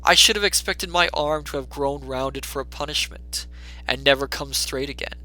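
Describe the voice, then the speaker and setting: A man's voice: mid-range voice, normal speed, bright, forceful tone, poor audio. A man reading a classic story aloud as a storyteller, in theatrical, scripted dramatic narration.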